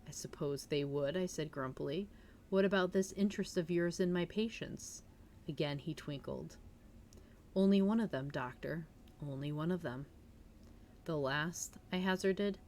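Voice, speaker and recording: A woman with a slightly low voice hosts a cozy story stream, moving between casual chat and reading aloud. Her manner is informal, chatty and giggly. Some noise sits behind her voice on the home streaming mic. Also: a little slow, a little mellow. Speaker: livestream host reading a novel aloud. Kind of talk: casual livestream chat and audiobook-style reading.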